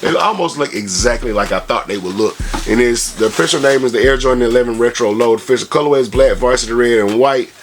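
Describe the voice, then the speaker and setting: A man's voice: mid-range voice, fast talker, hardly any pauses. A man hosting a casual sneaker review vlog, talking in a slangy, conversational way full of tag phrases. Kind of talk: casual product review vlog with shout-outs.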